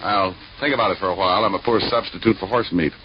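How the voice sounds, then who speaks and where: A man playing a wisecracking, hard-boiled private detective in old radio acting and narration, with a wry, deadpan delivery. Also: sits low, fast talker, noisy background, lightly acted. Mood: dry, probing. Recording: muffled old radio recording with music.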